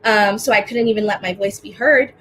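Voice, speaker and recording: A woman's voice: mid-range voice, fairly quick, a little hiss or hum. Earnest young woman organizer on a call. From Dominican Republic. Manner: warm, earnest, many fillers. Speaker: panelist, organizer. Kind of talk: casual spoken remarks.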